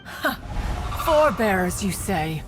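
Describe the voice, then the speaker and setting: A woman's voice: mid-range voice, big pitch swings, slow pace, background noise. A woman voicing a haughty, disdainful immortal bird spirit in a video game, pompous in manner. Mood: scornful. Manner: pompous, refers to self as "one". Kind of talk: English video game voice acting. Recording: music playing behind the voice.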